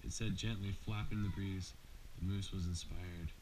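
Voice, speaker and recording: Man, lowish voice, father reading bedtime story to child, noisy home recording. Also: steady tone, normal speed. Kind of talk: bedtime storybook read-aloud.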